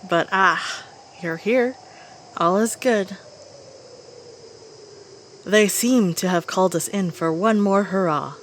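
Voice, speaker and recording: A woman's voice: medium pitch, takes it slowly, pauses often, a little hiss or hum, lightly acted. A woman playing a weary old veteran witch in a scripted audio drama, dry, wistful and grumbling. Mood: wry, weary.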